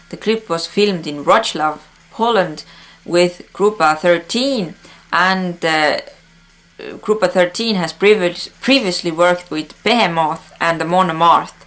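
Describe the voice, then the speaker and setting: A woman whose first language is Estonian, speaking English with an Estonian accent as a news vlog host, earnest, with frequent pauses and filler sounds. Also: on the low side.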